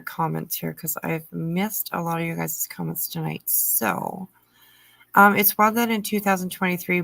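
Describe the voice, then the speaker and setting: A middle-aged woman hosting a livestream, chatting casually and answering viewer comments in a halting way with frequent pauses. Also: on the low side, vocal fry, a bit bright, dry recording. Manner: halting, pausing often mid-sentence. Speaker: livestream host.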